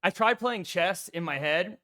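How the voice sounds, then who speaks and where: A man co-hosting a casual chess stream, a lively storyteller with a slightly raspy voice who acts out quotes and swears freely. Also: high voice, unhurried, a loud crowd. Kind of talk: casual chat.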